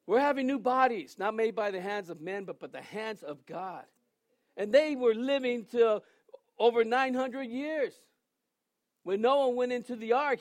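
A man in his sixties preaching a sermon in a joking, conversational way, reading scripture aloud slowly between asides. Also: sits high, dry recording, clean studio sound. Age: 60s. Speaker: pastor.

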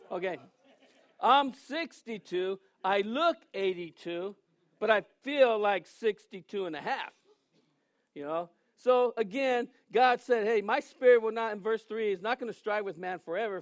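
A man in his sixties preaching a sermon in a joking, conversational way, reading scripture aloud slowly between asides. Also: high-pitched, no echo. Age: 60s. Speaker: pastor.

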